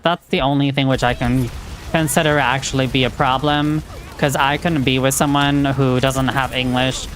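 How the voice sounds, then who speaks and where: A woman streamer chatting casually and frankly while she plays a game, with lots of filler words. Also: sits low. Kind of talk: casual chat while gaming.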